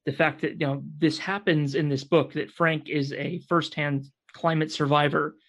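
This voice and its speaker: A man speaking earnestly as a guest in a panel discussion, pausing to search for words. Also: fairly high pitch, level tone, a bit bright. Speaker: podcast guest.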